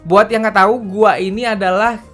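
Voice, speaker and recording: A man's voice: high voice, little pitch movement, moderate pace, a bit bright, soft background music. A man, chatting casually and candidly as a K-pop news vlogger. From Indonesia. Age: late 20s. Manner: chatty and confessional, slangy, often ends with "gitu". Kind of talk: casual solo vlog commentary.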